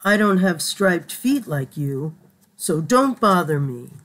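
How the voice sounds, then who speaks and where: Woman as a grumpy, slow-talking lady walrus. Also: on the low side, big pitch swings, a bit bright, full character voice. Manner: grumbling, curt. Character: grumpy, dismissive adult female walrus.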